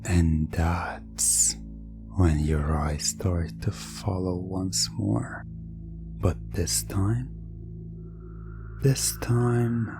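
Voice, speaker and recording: A narrator performing a scripted hypnosis roleplay in ASMR style, hushed and drawn-out, often slipping into whispers. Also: medium pitch, creaky. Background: music.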